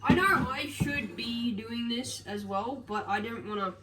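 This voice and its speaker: A woman's voice: on the high side, measured pace, recorded from a distance. A woman with a nasal voice chatting casually through a get-ready-with-me vlog, rambling with lots of fillers and self-corrections as she moves around her home. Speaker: vlogger. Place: home kitchen and rooms, indoors. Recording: echoey room, voice off-mic, household noise.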